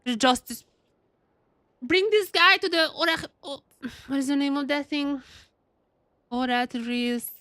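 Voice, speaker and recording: A woman game streamer giving casual, expressive live commentary, often repeating her phrases. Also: sits high, unhurried, pauses often, no room sound.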